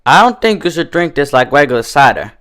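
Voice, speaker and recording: A stubborn cartoon farm mare tending bar as a man plays her, slightly raised in pitch. She has a folksy Southern country drawl and a wary, cautioning way of talking. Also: sing-song, a little fast, a bit bright. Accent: American country Southern.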